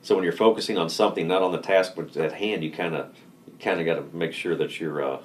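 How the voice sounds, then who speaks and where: A man talking casually in an interview as a lawyer, relaxed, laughing easily and restarting his sentences. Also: deep voice, fast, a little hiss or hum. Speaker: lawyer, firm co-founder.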